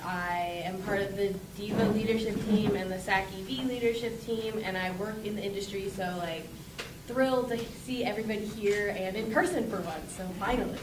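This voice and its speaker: A woman's voice: medium pitch, a little fast, background noise. A woman introducing herself to a club in a bubbly, self-deprecating way, with lots of laughing. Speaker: club leadership member. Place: meeting room. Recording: echoey room from far off.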